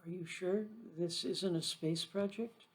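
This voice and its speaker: Storybook role: man as curious, knowing boy, earnest explainer, voice a little high. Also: fairly even intonation, unhurried. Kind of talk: children's storybook read aloud.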